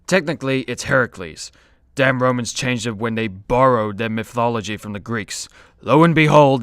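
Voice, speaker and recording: A man's voice: mid-range voice, a bit bright, no echo, close to an everyday voice. Man acting a grumpy hard-drinking ancient demigod. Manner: griping, grudging, dry. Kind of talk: superhero comedy radio play acting.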